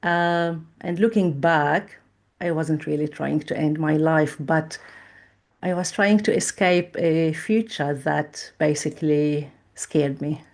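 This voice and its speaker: Slow, low-voiced woman from Lebanon. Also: fairly even intonation, a little mellow. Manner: measured and thoughtful, with frequent pauses and fillers. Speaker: trauma therapist guest. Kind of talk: reflective personal interview.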